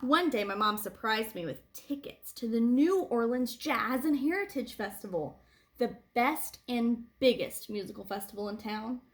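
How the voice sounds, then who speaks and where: High female voice, read-aloud storytelling, teacherly, expressive emphasis, for young children. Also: moderate pace. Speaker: teacher reading a picture book aloud.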